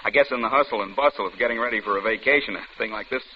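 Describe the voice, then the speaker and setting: A man's voice: fairly low pitch, rapid-fire, a little hiss or hum, close to an everyday voice. Actor as affable, hesitant delivery man, old radio. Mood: friendly awkward. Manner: hesitant, folksy, stammering politely. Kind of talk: 1940s radio drama acting. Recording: dull old radio recording.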